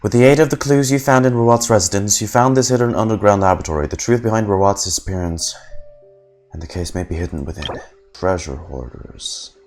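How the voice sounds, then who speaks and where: A man's voice: on the low side, brisk, a little mellow, faint music behind. A man streaming a video game, giving casual play-by-play reactions and reading on-screen text aloud.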